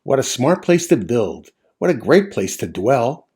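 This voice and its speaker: A man's voice: medium pitch, sing-song, a little slow, darkish tone, breathy edge, heavily acted. A man voicing a bold, cheeky male bird in a storybook reading, chirpy and pushy.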